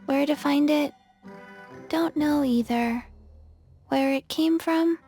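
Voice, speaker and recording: A high, muted female voice for a blank little girl in a fantasy game. She is slow and deadpan, giving short literal statements without emotion. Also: faint music behind. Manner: flat, matter-of-fact, clipped phrases. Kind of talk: English game voice acting.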